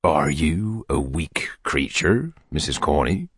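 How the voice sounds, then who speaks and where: A man's voice: mid-range voice, huge pitch swings, fairly bright tone, breathy edge, dry recording, studio quality, exaggerated voice. A man voicing a pompous, unctuous parish official with a tinge, in a storybook. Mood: amorous, unctuous. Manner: pompous, slow, soothing. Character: pompous, unctuous parish beadle courting a matron. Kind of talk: dramatised audiobook character voice.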